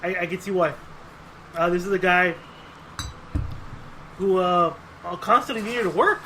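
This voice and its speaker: A man's voice: high voice, measured pace, bright, forceful tone, slight background noise, rough recording. A man co-hosting a podcast in casual chat, often repeating his own phrases. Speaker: podcast host.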